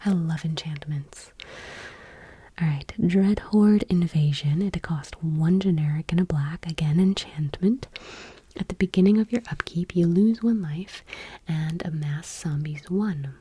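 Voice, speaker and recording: ASMR host, female: very breathy whisper, soft dark tone, slightly low, gentle reading with casual asides. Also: a little slow, dry recording.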